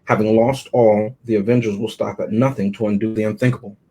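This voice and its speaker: Low, mellow man reading a synopsis. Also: fairly even intonation, a little fast, husky, low-quality recording. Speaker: show panelist reading synopsis.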